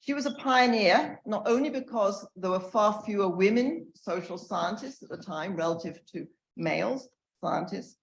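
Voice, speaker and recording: An older woman speaking at an academic panel, earnest and loud, with frequent fillers and restarts. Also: mid-range voice, lively intonation, normal speed, creaky, slight background noise. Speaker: panel speaker, emerita professor. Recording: echoey room.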